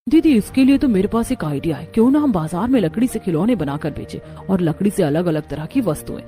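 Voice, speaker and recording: A woman's voice: mid-range voice, animated, a little fast, mellow, soft background music, lightly acted. A woman voicing a practical, resourceful young Indian daughter-in-law in a Hindi cartoon story. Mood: earnest.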